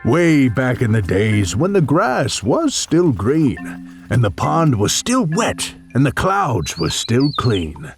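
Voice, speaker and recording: A man's voice: mid-range voice, wildly swooping pitch, slow, gravelly, soft background music, full character voice. A man playing an old, grumpy, secretive recluse who tells his tale dramatically in a storybook read-along. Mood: wistful, excited.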